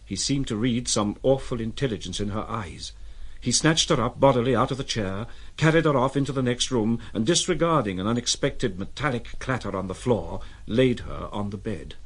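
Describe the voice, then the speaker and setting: A man giving scripted literary narration for an audio drama, with a husky voice. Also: mid-range voice, animated, normal speed.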